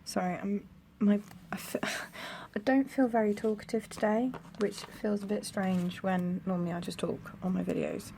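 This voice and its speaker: A young woman with a croaky voice, chatting casually at home while decorating her planner. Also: mid-range voice, fairly even intonation, moderate pace, hardly any pauses. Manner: chatty, rambling, soft-spoken. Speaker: planner vlogger. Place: home room near a busy road. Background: traffic noise.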